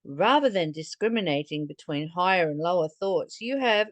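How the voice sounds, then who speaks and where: A woman reading a spiritual text and commenting on it reflectively, in a deliberate, earnest manner, like a teacher addressing the listener. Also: fairly low pitch, lively intonation, no echo. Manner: deliberate, earnest, posing rhetorical questions to the listener.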